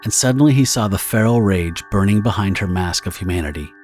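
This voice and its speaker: Male narrator for pulp-style audio drama: very low register, scripted dramatic storytelling, prominent music bed behind. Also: brisk, a little mellow.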